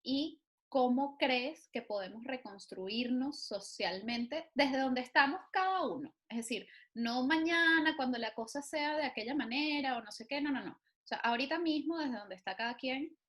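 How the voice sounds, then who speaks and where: Encouraging woman podcast host, higher voice. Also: expressive, normal speed, few pauses, fairly bright tone. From Venezuela. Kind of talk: interview podcast conversation.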